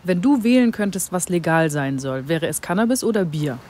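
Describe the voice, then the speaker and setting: A man's voice: high-pitched, lively intonation, a little fast, a little mellow, a little hiss or hum. A man reading out street-poll questions as the interviewer.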